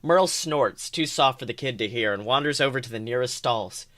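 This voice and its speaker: A man's voice: medium pitch, a little fast, fairly bright tone. A man hosting a podcast, narrating fan fiction aloud with expression. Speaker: podcast host.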